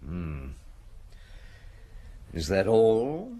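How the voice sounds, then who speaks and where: An actor in an audio drama playing a shrewd, unhurried police chief with a husky voice and a terse, probing manner. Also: male voice, low voice, wildly swooping pitch, mellow, heavily acted.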